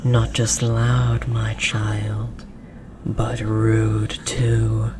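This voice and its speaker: A performer voicing an ancient forest god in a roleplay audio drama, solemn and condescending, with a breathy, whispery voice and archaic formal phrasing. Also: medium pitch, monotone, very slow, music in the background. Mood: intrigued, gentle. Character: ominous ancient forest god, possessive, condescending, gently menacing. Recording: noisy, layered forest ambience and effects. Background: forest ambience.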